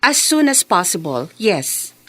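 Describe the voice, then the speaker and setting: A woman's voice: medium pitch, sing-song, slow, a bit bright, exaggerated voice. An actress in a Philippine radio drama playing a self-assured, vengeful rich young woman who slips English phrases into her Tagalog. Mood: breezy, businesslike.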